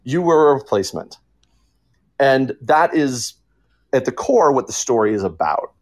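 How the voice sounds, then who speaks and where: A man's voice: mid-range voice, sing-song, measured pace. A man speaking informally as a writer on a podcast panel, explaining in an earnest, emphatic way.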